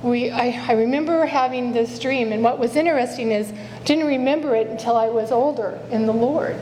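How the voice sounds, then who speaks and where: Quiet, earnest woman preacher, slightly high voice, on a stage mic in a reverberant church. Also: a little fast, slight background noise. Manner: earnest, repeats "Father" in prayer, self-correcting. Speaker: guest speaker. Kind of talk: sermon with prayer. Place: church sanctuary. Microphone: stage microphone.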